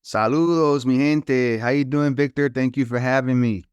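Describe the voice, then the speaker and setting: A man's voice: medium pitch, steady tone, moderate pace, smooth, dry recording, muffled recording. A middle-aged man from New York telling his life story as an interview guest, reflective and mixing in Spanish phrases. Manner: reflective storyteller who slips into Spanish, many "you know" fillers. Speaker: guest, author and consultant. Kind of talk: casual interview talk.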